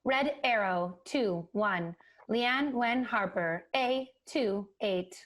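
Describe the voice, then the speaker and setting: A woman voicing an impersonal computer announcer in an audio drama, measured and formal. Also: medium pitch, rough recording. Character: impersonal female computer announcing places, dates and identities.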